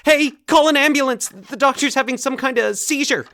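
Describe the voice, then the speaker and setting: A man voicing an agitated young boy, pitched up. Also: moderate pace, bright, forceful tone, a little hiss or hum, full character voice. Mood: panicked. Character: agitated boy patient, frightened and insistent. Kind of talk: dramatic story reading.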